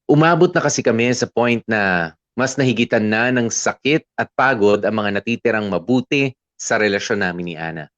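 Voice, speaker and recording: Low, weary boyfriend played by a man, confessing. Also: a bit bright, no echo, muffled recording. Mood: exhausted. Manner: confessional, English mixed in. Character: weary, fed-up boyfriend recounting a breakup. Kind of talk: scripted first-person radio drama narration.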